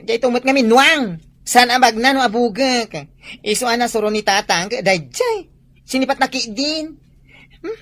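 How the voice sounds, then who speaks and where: A woman's voice: a little high, animated, moderate pace, a bit bright, no echo. A woman acting a downhearted, effeminate young farmer's son with a plaintive manner in a comedy drama. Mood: plaintive, hurt.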